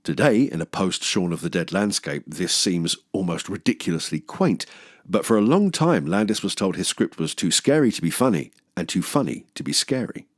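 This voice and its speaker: A man's voice: deep voice, sing-song, normal speed, no echo, clean studio sound. A man hosting a film review show, reading scripted narration with jokey, theatrical asides.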